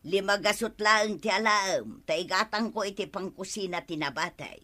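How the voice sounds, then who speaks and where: A woman's voice: mid-range voice, moderate pace, bright, forceful tone, close to an everyday voice. A woman playing a guilty village treasurer in an Ilocano radio comedy, pleading and making excuses in an Ilocano accent. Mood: defiant. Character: guilty woman treasurer who gambled funds.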